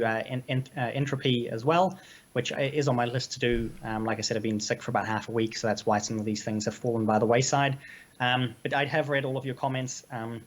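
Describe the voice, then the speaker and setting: A young adult man, co-hosting a live stream, a little hoarse from illness and speaking haltingly with many ums. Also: mid-range voice. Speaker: co-host. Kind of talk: casual live-stream chat.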